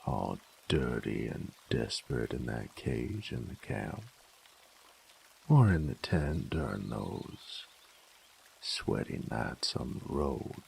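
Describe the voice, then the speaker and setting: A man playing a cocky, possessive, sadistic bandit with a gravelly, teasing voice in an intimate audio roleplay. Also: very deep voice, a little hiss or hum, full character voice. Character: cocky, possessive, sadistic male bandit, rough-spoken and seductive. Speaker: voice actor.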